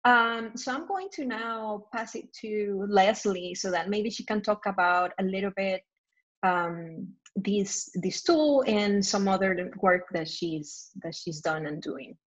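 A woman's voice: mid-range voice, takes it slowly, muffled recording. A woman moderating a panel on a video call, introducing speakers in an appreciative way.

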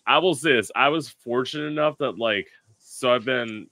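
A man's voice: mid-range voice, moderate pace, pushed and bright, no echo. A man hosting a casual podcast over a video call from a room at home, rambling with many fillers and laughs. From South Florida. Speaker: podcast host.

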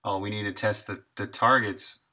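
A man's voice: lowish voice, fairly even intonation, normal speed, bright, forceful tone. A man in his thirties chatting casually and thinking aloud while he codes on a live stream, sometimes muttering to himself. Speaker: game developer live streaming.

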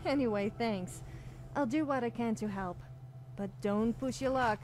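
A woman's voice: fairly high pitch, moderate pace, a little mellow, slight background noise, natural voice. A woman voicing a cheeky, boastful young adventurer in a fantasy game, with a sassy, theatrical manner. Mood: cocky. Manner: sassy, theatrical, self-important. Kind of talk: scripted fantasy game character dialogue.

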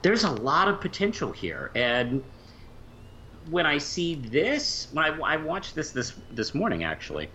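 A man co-hosting a podcast in a casual fan discussion, speaking haltingly and often repeating words as he goes. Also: huge pitch swings, pushed and bright. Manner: halting, trailing off mid-phrase and repeating words. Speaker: podcast co-host. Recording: muffled low-fi mic with faint hiss.

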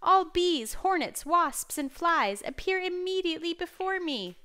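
A woman's voice: high-pitched, expressive, a little slow, clear, resonant tone, pitched above the performer's own voice. A woman voicing a proud, hot-tempered king in a children's story, commanding and imperious. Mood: furious.

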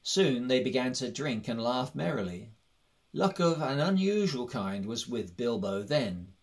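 A man's voice: medium pitch, big pitch swings, measured pace. A man reading a fantasy story aloud as scripted narration. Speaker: audiobook reader.

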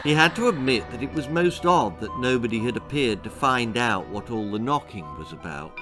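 A man, telling a spooky children's story in a measured, theatrical, suspenseful way. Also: mid-range voice, expressive, music in the background. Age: older, sixties. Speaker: author reading his own children's story. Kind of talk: dramatic storytelling, spooky children's tale narration. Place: book-lined room indoors.

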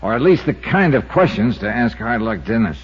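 An actor playing a brisk, wry insurance investigator who also narrates, in a 1950s radio detective drama. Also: male voice, mid-range voice, very animated, slightly rough. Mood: curious, probing. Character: brisk, confident male insurance investigator hero. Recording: dull 1950s radio recording with music.

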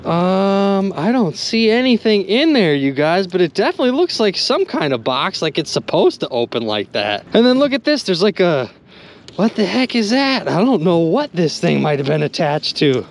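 A young man vlogging from a small boat on a river, exclaiming excitedly as he talks to his viewers. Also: high voice, normal speed, slight background noise. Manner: animated, exclaiming, chatty with viewers. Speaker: magnet fishing vlogger. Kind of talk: excited casual narration to viewers.

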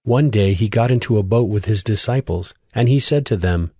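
Low-voiced male scripture narrator over music. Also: normal speed, darkish tone, slightly rough, dry recording, studio quality.

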